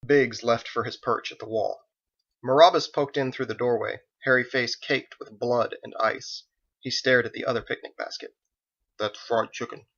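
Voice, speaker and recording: A man narrates a fantasy audiobook. His voice sits at a middle pitch and he reads the prose expressively. The recording is slightly dull. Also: fairly even intonation, moderate pace, fairly bright tone. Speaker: author reading own audiobook.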